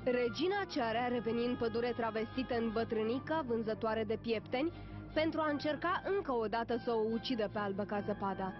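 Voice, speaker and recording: A woman's voice: fairly high pitch, lightly acted. A woman as storyteller, reading scripted narration for a children's fairy-tale cartoon. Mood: storytelling. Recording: dull lo-fi soundtrack, music behind.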